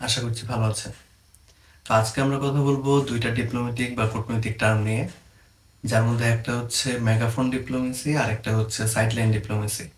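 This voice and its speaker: Even-toned Bangladeshi man in an echoey room. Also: medium pitch, fast talker. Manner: explanatory, mixes in English terms. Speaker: presenter explaining diplomatic terms. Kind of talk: informal explainer lesson. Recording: echoey room, faint background noise.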